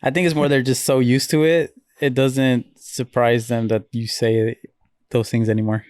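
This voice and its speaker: A man's voice: mid-range voice, flat delivery, moderate pace, a little mellow, no echo. Laid-back podcast guy, dry mic. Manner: laid-back, brief replies. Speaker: podcast co-host. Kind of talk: casual chat.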